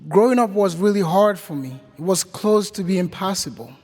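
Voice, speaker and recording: High-voiced young man from Haiti. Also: lively intonation, darkish tone, soft background music. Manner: slow, deliberate, repeating phrases. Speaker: guest speaker at Mass. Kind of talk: personal testimony to a congregation. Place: church. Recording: church hall reverb.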